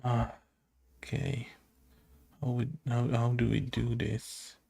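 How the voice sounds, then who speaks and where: A man talks to himself while coding, in a casual, muttered way. His delivery is extremely slow and halting, with long drawn-out gaps. The voice is mellow and full of heavy vocal fry. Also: mid-range voice, completely flat delivery. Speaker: game developer making a coding tutorial.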